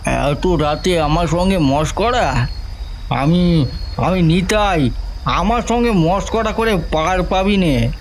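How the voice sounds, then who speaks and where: A man voicing a frightened village boatman who calls out slowly into the dark. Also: high voice, a little hiss or hum, voice pushed up. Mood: defiant.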